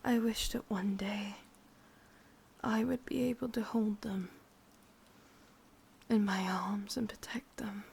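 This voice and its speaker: A woman with an English accent voicing an ancient, lonely, lovesick witch in a breathy, husky whisper for an ASMR roleplay. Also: mid-range voice, steady tone, a little mellow, slight background noise. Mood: melancholy, yearning. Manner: slow, brooding, intimate delivery.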